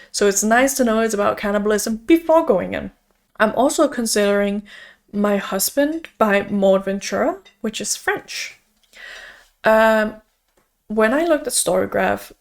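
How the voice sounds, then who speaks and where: A young woman whose first language is Danish talks through her reading plans in a loose, upbeat vlog chat. Her voice sits at an ordinary middle pitch for a woman, and she leans on little fillers as she goes. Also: a little mellow. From Denmark. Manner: chatty, upbeat, uses fillers like "like" and "so". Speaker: book vlogger. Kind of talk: casual chat.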